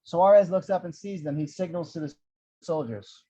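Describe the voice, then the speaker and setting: Higher-pitched man narrating, dull lo-fi sound. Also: moderate pace, warm tone, husky. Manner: irreverent, wry asides. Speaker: narrator reading screenplay action descriptions. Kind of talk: animated table-read narration of an action script. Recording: dull, lo-fi audio.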